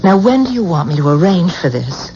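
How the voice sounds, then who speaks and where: An actress playing a determined, loving wife, coaxing and emphatic, in a theatrical 1970s radio drama style. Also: female voice, lowish voice, big pitch swings, brisk, dark tone. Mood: insistent, pleading. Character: determined, persuasive wife, loving but insistent. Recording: dull, hissy 1970s radio recording.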